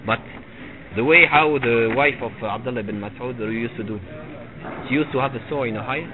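A middle-aged man teaching a religious lesson to a live audience, halting and repeating himself and often checking with "you see?". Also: medium pitch. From Tunisia. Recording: phone-like lo-fi recording with crowd noise. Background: listeners gathered in the room.

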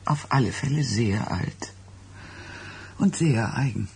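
A woman's voice: rumbling low, expressive, slow, creaky, a little mellow, full character voice. An actress playing a secretive, knowing woman in her late forties in a German radio drama, terse and probing. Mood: knowing, measured. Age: late 40s. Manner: terse, probing questions, evasive. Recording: radio play with background noise.

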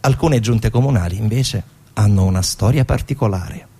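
A man's voice: lowish voice, normal speed, dark tone, slightly rough. A man narrating a theatrical documentary monologue in an explanatory way, posing rhetorical questions. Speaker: stage actor-narrator.

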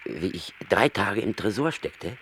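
Very deep-voiced boastful wandering rat. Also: male voice, expressive, measured pace, fairly bright tone. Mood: musing, boastful. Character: boastful, world-weary old male wandering rat storyteller. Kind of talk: German radio play acting. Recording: noisy old radio drama recording.

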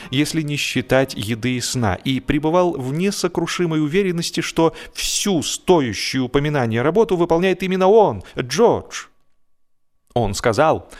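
A man narrating humorous fiction expressively, with a wry, theatrical delivery. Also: medium pitch. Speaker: audiobook narrator.

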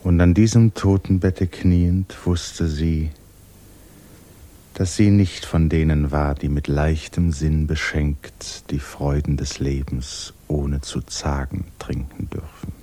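An actor playing a grave, solemn narrator in a 1950s literary radio drama, measured and dropping to a hush. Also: male voice, rumbling low, fairly even intonation. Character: grave, solemn male storyteller of a tragic novella.

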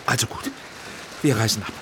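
An actor playing a tense middle-aged father in a radio drama, placating and hushed, then firm. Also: moderate pace, a little hiss or hum, close to an everyday voice. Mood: urgent, firm. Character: tense middle-aged father, film director planning escape. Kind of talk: German radio drama acting.

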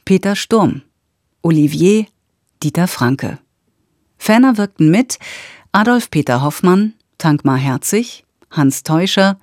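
Slow, dry-sounding female radio announcer. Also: lowish voice, mellow, no room sound. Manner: measured, neutral, carefully enunciated. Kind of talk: formal scripted credits announcement.

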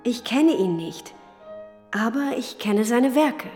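An actress playing an admiring, languid high-society lady guest in a radio drama. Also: expressive, slow, darkish tone. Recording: long hall reverb, faint music.

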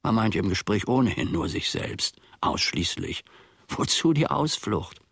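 An actor playing a grumbling, self-important manservant who muses pedantically to himself in a German radio play. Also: male voice, on the low side, sing-song, a little slow, gravelly, fairly bright tone, husky, no echo, studio quality, full character voice. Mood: pondering, dismissive. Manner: ruminating, pedantic, self-satisfied.

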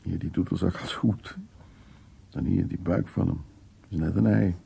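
An actor playing a genial, stubborn older art restorer in a radio drama, with a husky, gravelly voice and an informal, teasing manner. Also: male voice, low-pitched, fairly even intonation, normal speed, mellow, exaggerated voice. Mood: brisk, reassuring. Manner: informal, teasing, dismissive of worries. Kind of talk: naturalistic radio drama acting.

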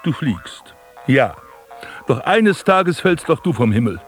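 Proud, sardonic prince, mid-pitched. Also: male voice, sing-song, soft background music. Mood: dry, haughty. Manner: measured, dry, reproachful. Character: proud, sardonic, disillusioned prince, likened to a tree. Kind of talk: literary radio drama acting.

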